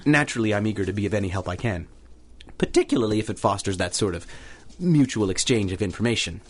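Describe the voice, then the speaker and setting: A man's voice: fairly low pitch, expressive, fast. A man playing a chatty, ingratiating newspaper reporter who rambles politely and effusively in a 1930s-set radio drama. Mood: earnest, obliging.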